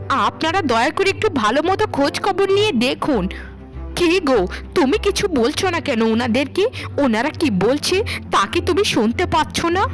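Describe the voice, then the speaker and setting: A woman plays an ageing landlord's wife in a cartoon melodrama. Her voice is high and plaintive, full of wailing reproach and motherly lament, over faint background music. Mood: shocked, pleading. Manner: melodramatic, wailing, stammering when shocked. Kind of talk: Bengali cartoon melodrama acting.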